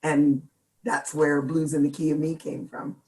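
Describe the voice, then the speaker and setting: Middle-aged woman, deep gravelly voice, relaxed and jokey interview guest. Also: unhurried, rough recording. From Seattle, United States. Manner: chatty, laughs often, jokes about herself. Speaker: guest singer. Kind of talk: casual interview chat.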